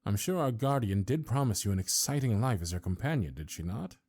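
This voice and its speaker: A man playing a stern, haughty old high councilor of a fantasy realm in an audio roleplay, speaking in formal, archaic, deliberate phrases. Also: lively intonation, darkish tone, dry recording, clean studio sound. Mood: wry.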